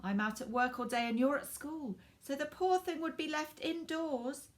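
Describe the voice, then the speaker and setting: A woman reading the part of a busy, gently firm mother reasoning with her child in a storybook. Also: sits high, moderate pace, pitched above the performer's own voice, lightly acted. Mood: apologetic, firm.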